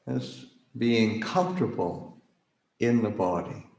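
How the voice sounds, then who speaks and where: A man leading a guided meditation as a Buddhist teacher, speaking haltingly with long pauses as he searches for words. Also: lowish voice, creaky, darkish tone. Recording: roomy, echoing livestream recording.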